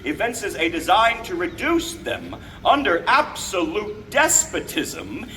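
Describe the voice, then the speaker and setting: Guy acting as a founding father orator, bright sharp voice, grand formal declaiming outside, noisy distant recording. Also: high-pitched, huge pitch swings, full character voice. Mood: grave. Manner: declamatory, deliberate phrasing, formal. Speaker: historical reenactor. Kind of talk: theatrical public proclamation. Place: outdoors, speaking from a balcony to a gathering. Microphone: distant camera microphone. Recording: distant outdoor recording with background noise.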